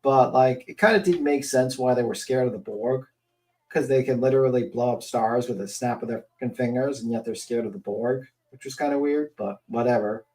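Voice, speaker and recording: A man's voice: mid-range voice, a little fast, darkish tone. A man in casual panel chat who jokes, dodges questions and rambles. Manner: joking, evasive, rambling. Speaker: panel guest.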